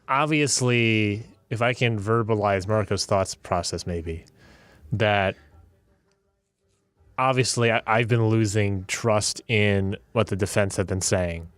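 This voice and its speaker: A man's voice: lowish voice, no echo. A man chatting casually as a player in a tabletop game, rambling and repeating words as he works out his thoughts. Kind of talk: casual chat about his character.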